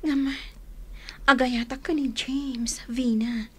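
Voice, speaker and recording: High-voiced, torn young Ilocano woman. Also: fairly even intonation, takes it slowly, a little mellow, breathy edge, heavily acted. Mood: tender, torn. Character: torn young Filipina woman in love with two men. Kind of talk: Ilocano radio drama acting.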